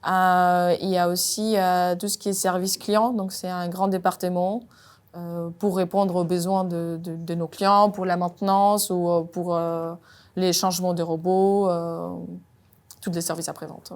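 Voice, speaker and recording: A woman in her thirties from Colombia, speaking French. Her voice sits a little low. She talks through her engineering work in a measured way, often pausing with hesitant fillers. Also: flat delivery. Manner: measured, frequent "euh" hesitations. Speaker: robotics R&D engineer. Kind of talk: job interview-style monologue.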